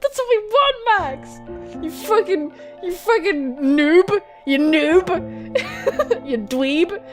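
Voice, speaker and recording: An excitable, giggly young woman reading a visual novel aloud and adding dramatic, sweary commentary as a gaming host. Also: very high-pitched, extremely slow. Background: music.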